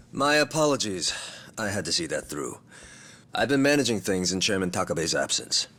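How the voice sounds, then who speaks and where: Courteous yakuza captain, very low husky voice, formal, businesslike, composed. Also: male voice, very animated, a bit bright, heavily acted. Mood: courteous, controlled. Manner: polite, measured wording, businesslike. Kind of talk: video game cutscene acting.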